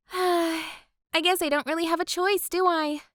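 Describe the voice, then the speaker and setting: A woman voicing a sweet, composed teenage club president in an anime visual novel fan dub, polite and gently authoritative. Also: very high voice, steady tone, slow, dry recording, clean studio sound, full character voice. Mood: reluctant.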